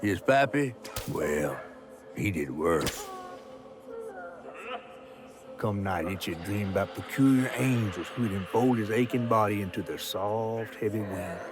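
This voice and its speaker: An actor playing a folksy storyteller in a game, narrating a dark tale with a Southern US accent in a dramatic, deliberate drawl. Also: male voice, lowish voice, music in the background, natural voice. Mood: somber.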